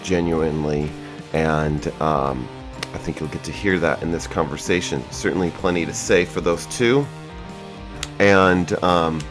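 A man hosting a music podcast, speaking in a relaxed, hesitant way with many fillers as he introduces the episode. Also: extremely low pitch, unhurried. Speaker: podcast host.

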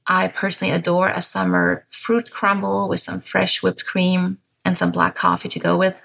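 A woman in her thirties from southern Germany hosts a calm podcast monologue in English, with a German accent. Her voice sits a little low, with a gravelly fry at the ends of phrases. She speaks softly and unhurriedly, with small restarts. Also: normal speed. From Bavaria, southern Germany. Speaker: podcast host.

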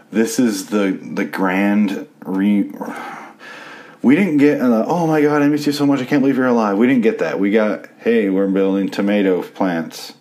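A man hosting a casual solo review podcast, a little sick with a raspy voice, crude and sarcastic as he rambles. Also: low-pitched, darkish tone. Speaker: podcast host reviewing a TV series.

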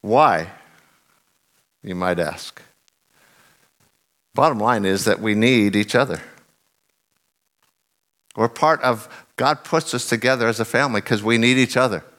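Middle-aged guy preaching, earnest, lowish voice worn down from singing, punches the big lines. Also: a little slow, long pauses, a bit bright. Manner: earnest, builds emphasis on key phrases. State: voice lowered from singing loudly. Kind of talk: sermon.

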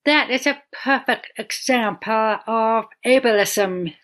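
A woman's voice: fairly high pitch, slow pace, fairly bright tone. A woman with a deaf accent who joins the podcast as a guest. She talks in an earnest, explanatory way, and her sentences are broken up by frequent ums. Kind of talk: casual conversation.

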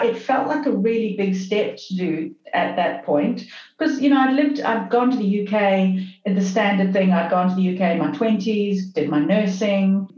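A woman in her fifties from Zimbabwe, telling her story as a podcast guest in a reflective, halting way with many restarts. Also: medium pitch, a little mellow. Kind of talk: casual interview storytelling. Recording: echoey, dull lo-fi sound.

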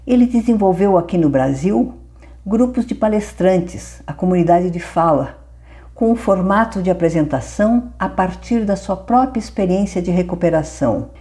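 A woman's voice: fairly low pitch, expressive, moderate pace, mellow. A woman hosting a podcast, giving an enthusiastic scripted introduction to her guest. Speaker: podcast host.

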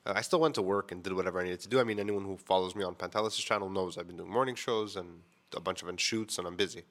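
A man with a fairly low voice chats to camera in a loose, frank vlog style, peppering his talk with fillers and the odd swear word. His voice sounds a little stuffed up and congested. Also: quick pace, a bit bright. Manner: chatty, frank, swears casually, many "like" fillers. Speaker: vlogger. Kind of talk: casual storytelling to camera. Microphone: mic on camera setup.

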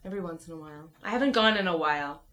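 A woman co-hosting a podcast, with a low voice and a chatty, playful way of talking, full of quick agreeing replies. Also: fairly bright tone. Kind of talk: casual chat.

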